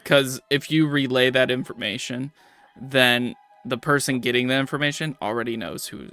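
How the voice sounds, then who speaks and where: A man's voice: medium pitch, moderate pace, pushed and bright, faint music behind. A man running a tabletop game as dungeon master, explaining the lore to his players in long run-on sentences.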